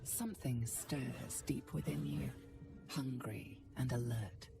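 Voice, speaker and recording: A woman playing a grave narrator in a video game, measured and ominous in her storytelling. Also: extremely low pitch, gravelly, distant mic, exaggerated voice. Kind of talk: scripted dramatic game narration. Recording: voice over music.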